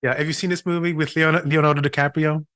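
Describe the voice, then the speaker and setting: A man's voice: on the high side, little pitch movement, fast, fairly bright tone, no room sound, clean studio sound. A middle-aged man from Haiti, a physician, telling his story in an earnest way with restarts in a podcast interview. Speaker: physician and patient experience consultant.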